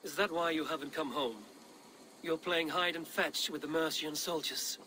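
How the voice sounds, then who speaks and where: An actor playing a bold, blunt Viking warrior in a dramatic game cutscene, speaking in a direct, terse way. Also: male voice, fairly high pitch, steady tone, normal speed, sharp tone, a little hiss or hum, low-quality recording. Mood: teasing, critical.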